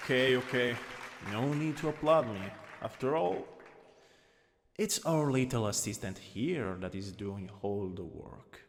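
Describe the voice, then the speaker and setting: A man with an Italian accent voicing a teasing, lowkey dominant anatomy lecturer in an ASMR roleplay. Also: unhurried, a faint crowd, slight background noise, close to an everyday voice. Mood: instructive, playful. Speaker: ASMR voice actor. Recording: long reverberant hall echo.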